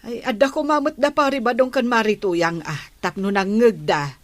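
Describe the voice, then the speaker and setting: A woman's voice: fairly high pitch, normal speed, natural voice. A woman acting a plain-spoken, caring villager in an Ilocano comedy radio drama, with an Ilocano accent. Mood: concerned.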